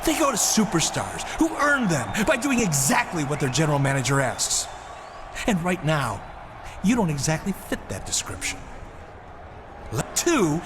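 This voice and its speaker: A man playing a smug, officious wrestling general manager who talks down to people in a video game. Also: a little high. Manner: smug, condescending corporate polish. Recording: noisy game capture.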